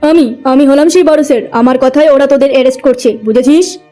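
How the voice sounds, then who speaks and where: A woman's voice: high-pitched, quick pace, warm tone, faint music behind, close to an everyday voice. A woman voicing a kind, earnest young man, a humble servant who is secretly a police officer, in a cartoon story. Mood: stern. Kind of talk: cartoon moral story acting.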